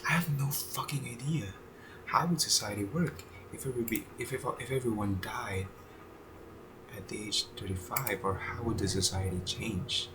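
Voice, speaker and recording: A man in his twenties thinking aloud in a casual video journal, halting with many fillers, restarts and casual swearing. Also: on the low side, heavy background noise. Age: 20s. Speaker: vlogger.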